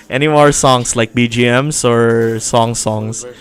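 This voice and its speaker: A man hosting a casual game livestream, answering viewer questions with frequent restarts and laughter. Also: medium pitch. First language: not Japanese.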